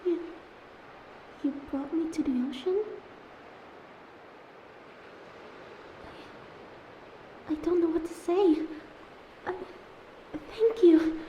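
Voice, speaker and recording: A woman playing a young captive mermaid, proud but wounded and wary, speaking haltingly and emotionally in a fantasy audio drama. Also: very high voice, dark tone. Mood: wary, hopeful. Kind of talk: scripted fantasy audio roleplay. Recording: echoey tank-like reverb, faint ambience noise.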